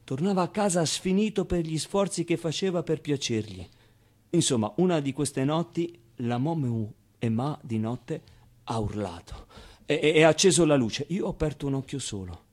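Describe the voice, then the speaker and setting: Stage monologue: candid orphan kid, slightly high voice, halting, confiding, streetwise yet tender. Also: male voice, expressive, a little mellow. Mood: hushed storytelling. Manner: halting, confiding, colloquial, with small interjections. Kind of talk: theatrical monologue, storytelling to the audience.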